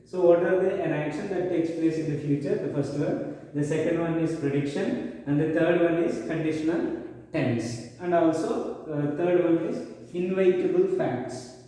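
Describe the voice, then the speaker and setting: A man's voice: a little high, moderate pace, mellow. Man teaching, echoey and far off. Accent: Indian English. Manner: repetitive, explaining step by step with many fillers. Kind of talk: classroom grammar lesson. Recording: echoey hall, mic far off.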